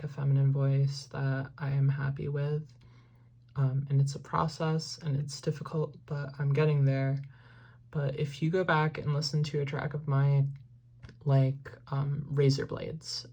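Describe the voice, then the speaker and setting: A woman's voice: a bass voice, creaky, warm tone. A woman musician casually explaining how she produces her vocals, rambling with many fillers and apologizing for not making sense. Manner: rambling, self-deprecating, lots of "like" and "um". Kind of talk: casual tutorial.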